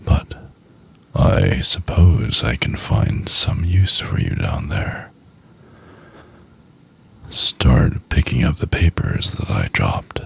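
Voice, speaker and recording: A man playing a cruel, domineering corporate executive in an intimate ASMR roleplay, condescending and drawn-out, with a gravelly, husky, half-whispered voice. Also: a bass voice, big pitch swings, heavily acted. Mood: disdainful, belittling.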